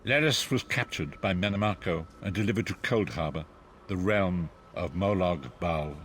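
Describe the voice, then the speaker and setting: A man playing a grave, wise sage in a fantasy game, narrating in a solemn, measured, portentous way. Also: sits low, a bit bright, a little hiss or hum. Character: grave, wise male sage, freed former prisoner.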